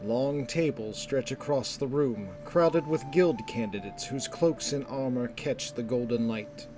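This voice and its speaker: A man narrating a scripted fantasy story in a measured, descriptive storytelling manner. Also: medium pitch. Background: music.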